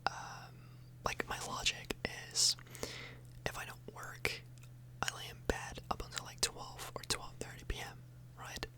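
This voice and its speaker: A woman whispering softly and breathily in a casual, rambling ASMR video, often hesitating and correcting herself. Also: measured pace, poor audio. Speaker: ASMR YouTuber, host. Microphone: microphone a little further away than usual.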